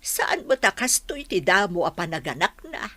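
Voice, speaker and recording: A woman playing a plain-spoken, caring older village auntie with a husky voice in a radio drama. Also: lowish voice, big pitch swings, normal speed, fairly bright tone, dry recording. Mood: worried, matter-of-fact.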